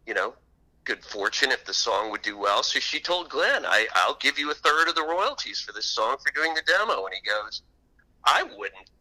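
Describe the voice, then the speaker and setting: Man, very bright forceful tone, interview guest, animated conversational storyteller. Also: medium pitch, normal speed, rough recording.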